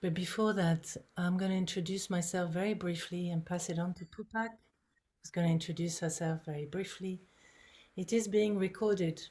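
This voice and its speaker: A woman in her sixties from Lebanon, calmly and gently guiding an online prayer gathering over a video call. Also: lowish voice. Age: 60s. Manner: calm, gentle, inviting. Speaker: prayer facilitator. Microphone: video call microphone.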